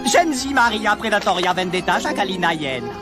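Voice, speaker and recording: Swooping, wisecracking cartoon meerkat. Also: male voice, sits high, big pitch swings, normal speed. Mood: taunting showmanship. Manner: theatrical, sarcastic, swooping delivery. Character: wisecracking male meerkat, restless dreamer and showman. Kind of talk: animated film dubbing. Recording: music behind the voice.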